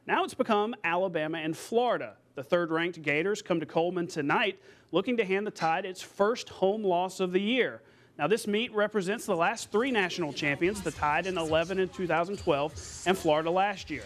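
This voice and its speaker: High-pitched American male sportscaster. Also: a little fast. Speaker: sports anchor. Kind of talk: scripted local TV sportscast narration.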